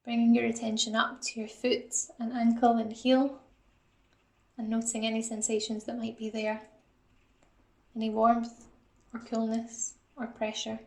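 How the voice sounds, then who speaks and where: A woman guiding a mindfulness body scan in a calm, gentle, instructional manner, like a psychologist leading an exercise. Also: fairly high pitch, unhurried, gravelly, pauses often, roomy sound. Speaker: assistant psychologist guiding a meditation.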